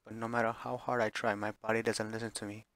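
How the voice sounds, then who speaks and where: A man's voice: on the low side, flat delivery, fast talker, a bit bright, no echo. A man reading a visual novel aloud as dramatic narration, sometimes stumbling and repeating phrases. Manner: stumbles over words and re-reads phrases.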